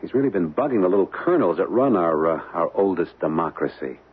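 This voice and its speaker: An actor playing a wary, easygoing young American husband in a radio drama, speaking in slangy, hesitant phrases. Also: male voice, deep voice, animated, quick pace, husky. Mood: cautious, resisting. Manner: slangy, hesitant, repeating words. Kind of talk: old-time radio drama acting. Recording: tinny, band-limited vintage radio, hissy.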